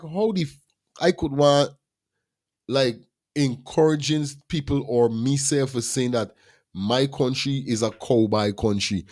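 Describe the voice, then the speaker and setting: A man's voice: mid-range voice, a little mellow. A man hosting a casual talk show, agreeing often and speaking freely. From Guyana. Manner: agreeable, frequent affirmations. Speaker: host. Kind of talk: casual chat.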